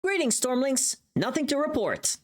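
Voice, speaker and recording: A chipper video game gatekeeper guard, acted out by a woman, pitched high with a bouncy swing. The greeting is dutiful and upbeat, delivered like a routine report. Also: big pitch swings, normal speed. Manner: bright salute-like greeting, formulaic reporting.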